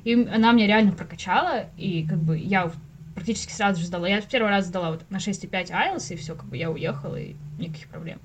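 A young woman talks very quickly in a casual podcast chat. She tells stories in slangy, conversational speech and voices little quoted reactions as she goes. Her pitch sits in an ordinary range. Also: a little hiss or hum. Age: late 20s to early 30s. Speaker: podcast guest, animator.

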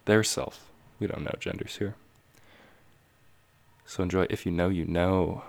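Deep-voiced, easygoing man hosting a music show. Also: measured pace, vocal fry. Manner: relaxed, rambling, self-joking. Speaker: radio show host. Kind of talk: casual DJ talk between songs.

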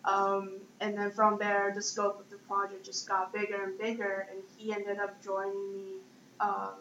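A woman's voice: medium pitch, completely flat delivery, moderate pace, a bit bright. A woman in her twenties with a Chinese accent, answering interview questions conversationally as a startup founder. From Shanghai, China. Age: 20s. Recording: echoey room, dull sound, faint noise.

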